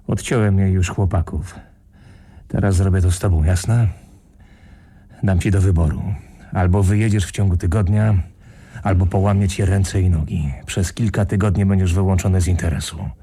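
A man playing a hard, sardonic middle-aged tough guy in a radio play, deadpan, menacing and very raspy. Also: moderate pace. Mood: coolly threatening. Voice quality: low, very raspy. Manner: deadpan, menacing, matter-of-fact.

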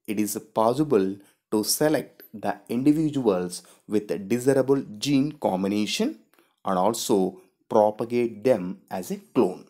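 Guy going thru plant biology slides, kinda low voice, repeats the key words a lot. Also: warm tone. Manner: explains step by step, repeats key terms, non-native phrasing. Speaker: biology teacher. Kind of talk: slide lecture. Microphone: voice-over to slides.

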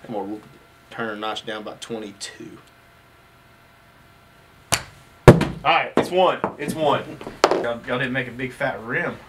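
A man's voice: medium pitch, unhurried, pauses often. A man in his thirties hosting an indoor game with casual, competitive, joking banter in a warehouse office. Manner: animated, excitable, joking. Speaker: host and player in an office golf challenge. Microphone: far camera across the room. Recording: distant camera mic, loud noise, clicks.

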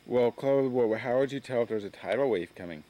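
A father filming his children in the backyard, calmly quizzing and encouraging them. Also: medium pitch, brisk, poor audio. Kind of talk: casual home video chat. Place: sunny outdoor backyard garden. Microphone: camcorder mic.

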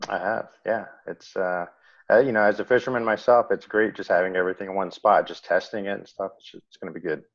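A man's voice: sits low, steady tone, fast talker. A man joining a video call as a guest developer, speaking in a hesitant way with many fillers over dull call audio. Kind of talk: casual video call chat.

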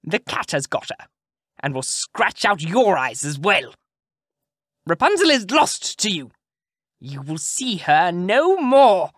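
A narrator voicing a wicked old witch in a storybook reading, drawing out taunting words slowly. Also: mid-range voice, very animated, no room sound, studio quality, voice pushed up. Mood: mocking. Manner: slow, drawn-out taunting shrieks.

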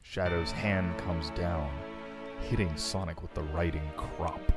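Deep-voiced man narrating over music. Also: steady tone, a little slow. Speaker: narrator of a group fan fiction reading. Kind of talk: scripted narration with casual joking and swearing. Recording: loud background music.

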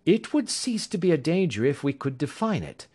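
A man's voice: on the high side, big pitch swings, normal speed, a little mellow, voice pushed up. A man reading aloud, voicing a keen, grave and impatient male detective in a storybook reading. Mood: reassuring. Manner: crisp, grave, impatient outbursts. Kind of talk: audiobook character reading.

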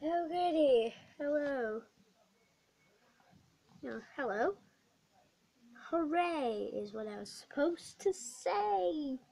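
A young girl with a bad cold and a croaky voice, chatting through a craft tutorial vlog in a small bedroom, rambling with many ums. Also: high voice, lively intonation, drawn-out words, pauses often, warm tone. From not American. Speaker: craft vlogger. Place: small dim bedroom indoors.

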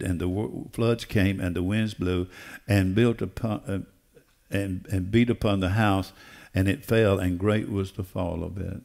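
Older male preacher, fairly deep voice, emphatic and deliberate delivery from a church stage. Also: gravelly, darkish tone. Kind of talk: sermon. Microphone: headset.

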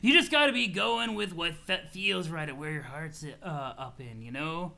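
A man's voice: on the high side, moderate pace, gravelly, sharp tone, pitched below the performer's own voice, exaggerated voice. A man voicing a laid-back, spiritual stoner troll who rambles and swears in dreamy wonder. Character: mellow, spiritual stoner male troll, awed by everything.

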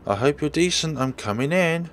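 A panicked young woman, played by a man, cries out in a high, strained voice. She speaks loudly in short, frantic bursts. She sounds close to breaking. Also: expressive, normal speed, a little hiss or hum, lightly acted.

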